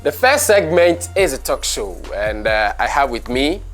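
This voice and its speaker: A man's voice: medium pitch, sing-song, unhurried, fairly bright tone. Male talk show host, Ghanaian accent, mid-range voice, informal and chatty, music faintly behind. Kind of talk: conversational talk show hosting with Pidgin phrases.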